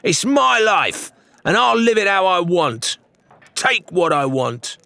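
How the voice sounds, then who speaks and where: A man's voice: high voice, very animated, slow, gravelly, bright, forceful tone, voice pushed up, full character voice. A man voicing a defiant, brash pirate calling out from a tavern crowd in an audiobook. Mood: brash defiance. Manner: indignant, brash. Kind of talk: audiobook character voice.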